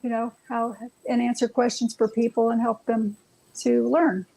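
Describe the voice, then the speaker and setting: A woman's voice: fairly high pitch, little pitch movement, normal speed, creaky, warm tone, poor audio. A woman guest on a chat show, talking in an upbeat, chatty way. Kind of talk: casual interview chat.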